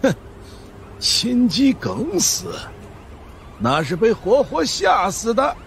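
A smug old monk for an audiobook, slow and high-pitched. He boasts and drawls like a self-styled master, with faint noise behind. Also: huge pitch swings, gravelly, heavily acted. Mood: ominous. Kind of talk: audiobook character acting.

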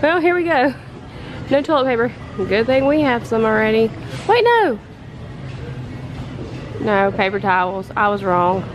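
A woman, chatting excitedly to viewers in a casual haul vlog. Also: a little high, unhurried, long pauses, over music, rough recording. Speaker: vlogger. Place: home kitchen and store aisles.

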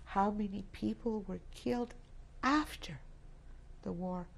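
Woman, sixties, Polish-born, native Polish speaker, raspy low voice, halting testimony, lapel mic, indoor studio. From Poland. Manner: reflective, halting, with many restarts. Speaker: interviewee. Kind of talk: oral history testimony. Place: indoor studio set with plants.

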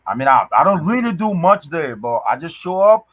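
Young man, from Ghana, podcast guest, video call, halting casual talk, dry close sound. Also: a little high, big pitch swings, fairly bright tone, no echo. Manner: halting, many restarts and fillers, loose slangy talk. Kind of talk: casual conversation. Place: indoors, small room at home.

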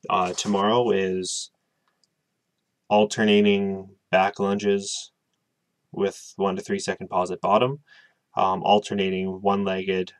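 A man's voice: deep voice, completely flat delivery, takes it slowly, pauses often, dry recording, clean studio sound. A young man in his twenties giving a casual, rambling video diary at his webcam, with lots of hesitant ums. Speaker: vlogger. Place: small room with bookshelves, indoors.

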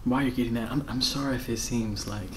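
An American man in his thirties doing a casual ASMR roleplay as a friendly, flustered rental host, soft-spoken, halting and apologetic. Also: medium pitch, fairly even intonation, slight background noise. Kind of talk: ASMR roleplay, casual one-sided conversation. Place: bedroom, indoors.

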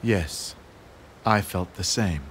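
An actor playing a calm, wise gentleman strategist of ancient China in a video game, formal and composed. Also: sits low, slow, slight background noise. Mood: quiet, tender. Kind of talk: dubbed video game cutscene acting.

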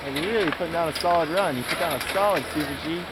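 A man's voice: fairly high pitch, very fast. A young man giving eager, informative amateur commentary on a ski race outdoors, picked up by a nearby camcorder. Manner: eager, informative, cheering out loud. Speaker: forerunner doing impromptu commentary. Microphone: camcorder mic nearby. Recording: loud outdoor noise on camcorder.

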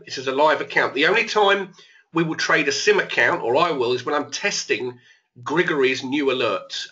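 A man's voice: mid-range voice, pushed and bright, highs cut off. A man who is losing his voice a bit, casually talking through live trades as the host of an online trading room. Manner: casual, thinking aloud. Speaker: trading educator.

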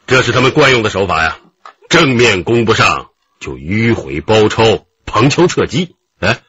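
An actor playing an affable, seasoned police deputy chief in a radio drama. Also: male voice, on the low side, big pitch swings, slow, pauses often, bright, forceful tone, husky, highs cut off, exaggerated voice. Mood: assured. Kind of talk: Chinese radio drama acting.

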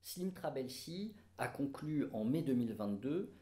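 A middle-aged lawyer speaks from his office. His voice sits at a steady middle pitch. He lays out a legal case in a formal, measured way. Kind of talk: explanatory interview. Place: office indoors.

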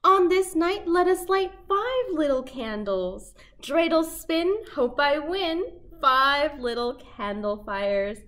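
A young woman hosting a children's storytime, reading a picture book aloud in simple, deliberate phrases for toddlers. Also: high-pitched, lively intonation, a bit bright. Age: young adult. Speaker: children's library storytime host. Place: small indoor set with fabric backdrop.

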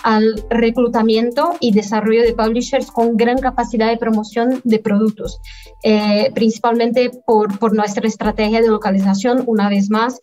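A woman in her thirties explains her work as a guest on a video call, heard through a webcam. Her voice sits fairly high and her delivery is measured, halting between phrases. Faint music plays behind. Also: flat delivery, warm tone, highs cut off. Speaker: guest, affiliate manager. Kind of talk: business interview answers. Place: indoor room at home, by video call.

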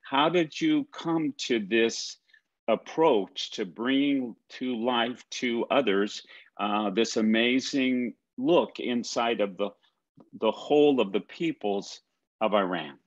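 Slow, halting older man interviewer. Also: mid-range voice, lo-fi sound. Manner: slow, halting, rambling questions. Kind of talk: video call interview. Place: office with ceiling lights.

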